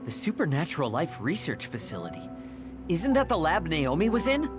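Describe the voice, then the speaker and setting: A man's voice: a little high, huge pitch swings, normal speed, music in the background. A man playing a blunt, prickly young soldier in an anime-style video game, snippy and scornful. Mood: incredulous.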